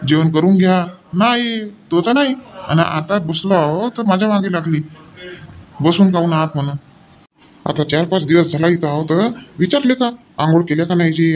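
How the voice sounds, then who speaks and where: Man voicing a high-pitched grumbling husband, muffled recording. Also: slight background noise, natural voice. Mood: complaining. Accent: rural Vidarbha Marathi. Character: grumbling, put-upon middle-aged village husband. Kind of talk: homely cartoon comedy dialogue.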